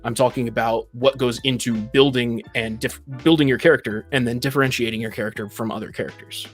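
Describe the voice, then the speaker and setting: A man's voice: medium pitch, steady tone, fairly quick, soft background music. A man in a casual discussion, explaining things in an analytical, precise and somewhat argumentative way. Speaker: panelist in a tabletop gaming discussion.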